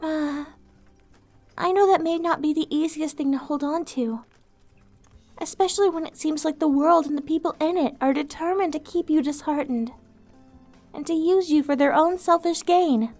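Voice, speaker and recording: A woman voicing a gentle, weary female vampire outcast in an ASMR roleplay, soft-spoken, wistful and earnest, with formal wording. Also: high-pitched, normal speed, faint music behind, close to an everyday voice. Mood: earnest, compassionate.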